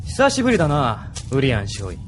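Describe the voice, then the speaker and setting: A man's voice: mid-range voice, huge pitch swings, fairly quick, noisy background. A man playing a self-assured veteran major and ace pilot in an anime audio drama. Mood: confident. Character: proud veteran male ace pilot, vengeful. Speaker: military major.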